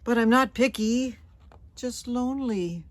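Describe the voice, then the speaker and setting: A woman's voice: on the high side, a little slow, no echo, voice pushed up, lightly acted. Lady doing a lonely stray doggy from a picture book, hopeful, begging really earnestly, takes his time. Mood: lonely pleading. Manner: earnest, emphatic pleading. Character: eager, lonely male stray dog, pleading and hopeful. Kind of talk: storybook character voice, letters read aloud.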